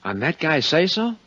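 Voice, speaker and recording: A man's voice: fairly high pitch, a bit bright, full character voice. An actor in a 1950s radio drama playing an affable but uneasy man under questioning, folksy and evasive with nervous chuckles. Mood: dismissive. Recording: dull 1950s radio recording.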